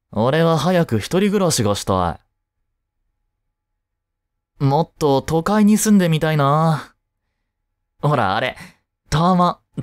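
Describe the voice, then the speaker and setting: A man's voice: mid-range voice, clear, resonant tone, no room sound, studio quality. A man playing an easygoing, caring teenage boy, a childhood friend, in a romantic audio drama, speaking casually and reassuringly to the listener. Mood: casual, wistful. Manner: casual, gently reassuring, slow with pauses.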